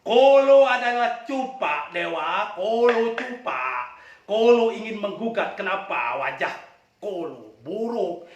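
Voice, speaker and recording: An indignant, ugly young folk-tale hero, acted out by a male storyteller, protests with plaintive emphasis. His high voice swings widely in pitch. It is heard from a distance in an echoey room. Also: a bit bright, full character voice. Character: ugly, big-mouthed, indignant young man challenging the gods.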